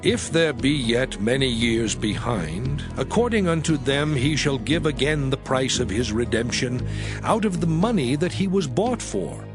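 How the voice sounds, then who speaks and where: A man giving a dramatized Bible reading in a solemn, measured, declamatory manner. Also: medium pitch, expressive. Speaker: scripture narrator. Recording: music under the voice. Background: prominent music.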